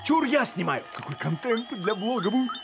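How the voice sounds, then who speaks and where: A man voicing a scatterbrained, boastful, good-natured talking dog in an animated cartoon, rambling and excitable. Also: high voice, fairly quick, gravelly, over loud music, exaggerated voice. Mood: chatty, eager. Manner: rambling, excitable, tells tall anecdotes.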